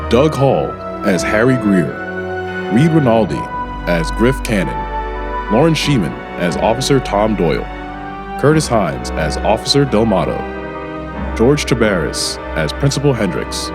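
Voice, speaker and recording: A man's voice: on the low side, very animated, a little slow. A man hosting and narrating a scripted radio drama in a theatrical announcer style. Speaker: host and narrator. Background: music bed.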